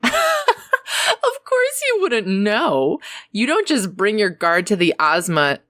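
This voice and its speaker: A woman's voice: medium pitch, huge pitch swings, slow, a bit bright, no echo, clean studio sound, heavily acted. A woman playing a haughty, cruel alien queen in an audio drama, with a taunting, imperious delivery. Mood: commanding.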